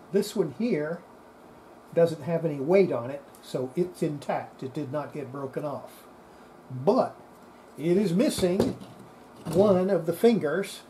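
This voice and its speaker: A man giving an unscripted hands-on repair demonstration, talking haltingly and half to himself as he works. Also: on the high side. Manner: halting, muttering to himself while working. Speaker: hobbyist restorer presenting a repair. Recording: steady background noise.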